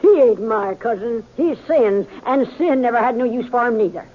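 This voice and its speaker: A woman's voice: a little high, normal speed, noisy background, close to an everyday voice. An actress playing a feisty, stubborn older woman miner with a folksy, blunt American voice in a 1950s radio western. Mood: defiant. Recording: tinny vintage 1950s radio recording.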